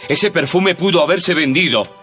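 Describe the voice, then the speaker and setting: A man's voice: a little high, moderate pace, fairly bright tone, breathy edge, faint music behind, pitched above the performer's own voice. A man voicing an indignant young male disciple in an audio Bible drama, complaining in an exasperated tone.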